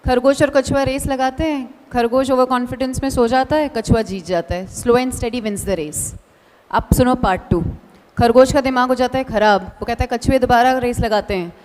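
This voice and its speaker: A woman's voice: on the high side, steady tone, moderate pace, darkish tone. A woman telling a motivational story in short punchy phrases, addressing the listener directly. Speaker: motivational speaker. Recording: long echoing hall reverb.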